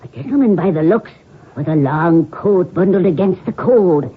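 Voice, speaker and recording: A woman's voice: on the low side, expressive, normal speed, slightly rough. An actress playing an earnest, anxious young Irish woman in a 1970s radio drama. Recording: muffled 1970s radio broadcast.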